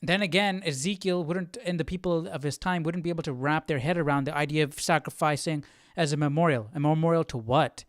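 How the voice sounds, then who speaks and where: A man's voice: fairly high pitch, brisk, hardly any pauses, no room sound. A man hosting a live study stream, talking casually and thinking aloud in a hesitant, musing way as he searches for words.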